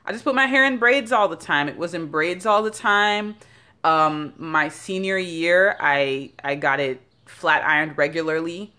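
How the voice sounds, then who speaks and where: Brief: woman in her thirties from Jamaica, low voice, rambling with fillers, phone at arm's length. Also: pushed and bright. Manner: chatty and rambling, with many fillers. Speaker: founder of a beauty brand. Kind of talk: casual vlog talk. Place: bathroom by a bright window. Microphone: phone held at arm's length.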